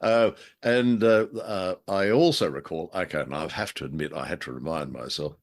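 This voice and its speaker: A middle-aged man co-hosting a show, chatting casually about stories in a wordy, wry, measured way. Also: sits low. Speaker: co-host of an old-time radio drama show. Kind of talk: casual chat about a story.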